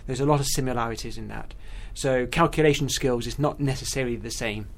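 A man's voice: medium pitch, fast. A man speaking as a guest mathematician, explaining his subject in an interview.

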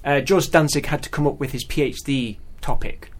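A man telling stories as a guest in casual conversation, animated and often repeating words as he goes. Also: medium pitch, brisk. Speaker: guest mathematician.

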